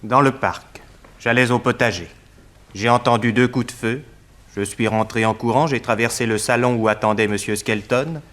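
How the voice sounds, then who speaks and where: Actor as deferential manservant, medium-pitched. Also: male voice, normal speed, a bit bright, lightly acted. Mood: sober, factual. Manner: formal, deferential, matter-of-fact. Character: deferential male household servant, plain and loyal. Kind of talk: 1960s French radio drama acting.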